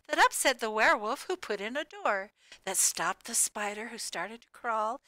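Female storybook reader, crisp and close-sounding, expressive sing-song delivery of cumulative rhymes for young children. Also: a little high, dry recording. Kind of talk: animated children's read-aloud of a rhyming picture book.

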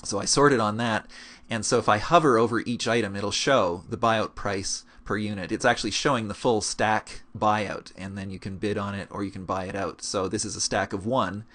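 A man walking through a web app in a relaxed screencast tutorial, explaining step by step with frequent fillers. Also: on the low side, normal speed. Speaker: game gold-making guide. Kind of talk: screencast walkthrough.

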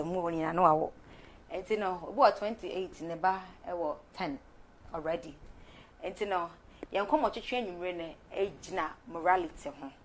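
Woman, Ghanaian accent, young, TV host, lively, mixes Twi and English, slightly low pitch, lapel mic, studio. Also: a little hiss or hum. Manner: switches between Twi and English, lively and encouraging. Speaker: TV talk show host. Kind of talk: studio talk show hosting.

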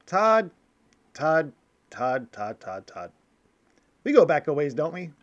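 A man's voice: on the high side, sing-song, takes it slowly, a bit bright, muffled recording, full character voice. A man playing a dramatic monster boss who acts the detective in a puppet crime-drama parody, with theatrical delivery. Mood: probing.

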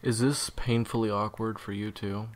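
A man's voice: fairly low pitch, fairly even intonation, normal speed, natural voice. A man playing a weary, evasive assassin in an audio roleplay, talking in a dry, hesitant, sarcastic way. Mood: guarded, dry. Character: sardonic male assassin captor, weary and evasive.

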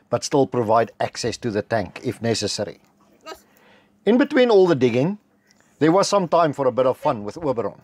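A middle-aged South African man with an Afrikaans background, narrating a homestead building vlog in a measured, matter-of-fact voice-over. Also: mid-range voice, no room sound. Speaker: homestead vlogger. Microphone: close voice-over mic.

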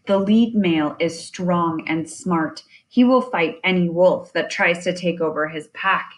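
A woman reading a children's nonfiction picture book aloud in a deliberate way, with chatty asides. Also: fairly low pitch. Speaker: reader of children's science book.

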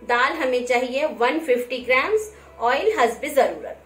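A woman talking through a home cooking recipe step by step, in a gentle, conversational way. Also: high-pitched, expressive, unhurried. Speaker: home cook. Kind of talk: cooking demonstration voice-over. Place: home kitchen. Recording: roomy kitchen, frying noise. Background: sizzling frying pan.

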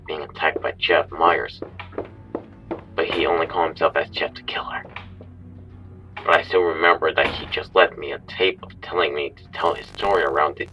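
A man playing a frightened, grim storyteller in a horror audio drama, halting and earnest as he narrates. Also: very deep voice. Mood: weary, anxious. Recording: distant, muffled, noisy with keyboard clatter.

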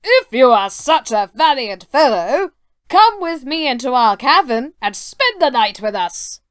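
A huge, lumbering male giant who booms out every word slowly and heavily, as a woman puts him on for a storybook. The voice swoops widely in pitch over a dry, close sound. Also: big pitch swings, clear, resonant tone, no room sound, full character voice. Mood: booming. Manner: slow, loud, drawn-out delivery. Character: huge gruff male giant, slow and booming.

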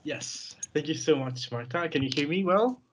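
A man's voice: fairly high pitch, expressive, normal speed, a little hiss or hum. Young Romanian man presenting over a video call. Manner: earnest, many fillers and repeated words. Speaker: guest speaker. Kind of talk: webinar presentation.

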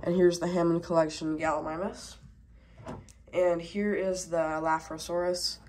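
A woman's voice: lowish voice, level tone, moderate pace, a little mellow, slight background noise. A woman with a stuffed-up cold casually reviewing a toy, rambling with many fillers, recorded at a tabletop on a camera mic. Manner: rambling, many fillers, talking while handling toys.

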